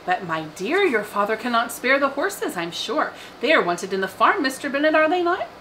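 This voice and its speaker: A woman voicing an excitable, boastful middle-aged mother in an audiobook, gushing and insistent. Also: sits high, moderate pace, slight background noise, voice pushed up, exaggerated voice. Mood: breezy, insistent. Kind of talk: audiobook character voice.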